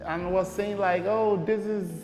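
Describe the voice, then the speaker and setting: A man's voice: sits high, steady tone, a little slow, darkish tone, soft background music. A young Nigerian man, a guest in an interview, talking casually and rambling with many fillers. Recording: echoey room with long reverb.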